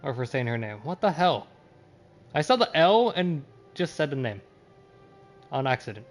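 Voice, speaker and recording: A man doing casual, profane live game commentary as a streamer, often sounding confused and reacting out loud. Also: medium pitch, very animated, moderate pace, faint music behind. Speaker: video game livestreamer.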